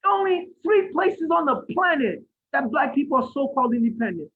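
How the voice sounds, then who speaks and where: A Haitian-born man giving an impassioned lecture over a video call, asking rhetorical questions and rising to near shouts. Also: very high voice, sing-song, normal speed. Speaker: guest speaker. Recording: phone-like line from an online event.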